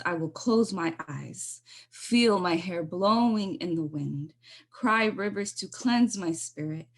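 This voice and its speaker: A woman poet on a video call, reading her own spoken word poetry in a measured, earnest way and chatting casually between poems. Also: medium pitch, fairly bright tone. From United States.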